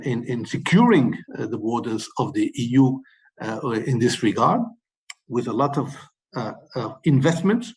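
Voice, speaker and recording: A man from Morocco speaking as a webinar panelist, setting out his points in a measured way with many fillers and restarts. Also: medium pitch, darkish tone, poor audio. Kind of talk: policy talk, numbered key messages.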